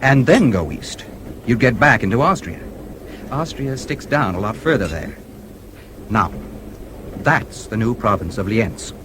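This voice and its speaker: A man's voice: mid-range voice, very animated, a little slow, long pauses. An actor playing a confident, genial American newspaperman in a radio drama, with an American accent. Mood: explanatory. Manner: genial, breezy, self-assured. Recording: radio play with clear background noise.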